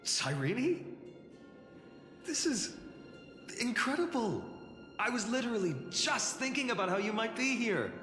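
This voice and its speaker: A young warrior hero from a game, earnest and wry. His pitch moves widely while his words come at a slow, dramatic pace. The voice rings with long reverb. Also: big pitch swings, bright, forceful tone, exaggerated voice. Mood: amazed. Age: young adult. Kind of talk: video game voice acting. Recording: long reverb with music behind.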